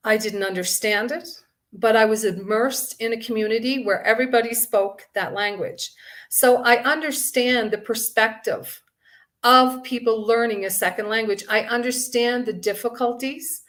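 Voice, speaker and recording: A middle-aged woman from Canada giving an earnest conference speech, with many ums and pauses between phrases. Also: fairly high pitch, fairly bright tone. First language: English. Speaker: guest speaker.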